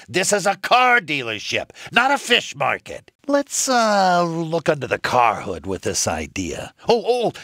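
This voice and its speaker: An actor playing a self-important car dealership manager in a comedic video game, full of chummy, smarmy corporate patter. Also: male voice, on the high side, pushed and bright, no echo, studio quality, full character voice. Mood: condescending. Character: smarmy, self-important, jargon-spouting male office manager.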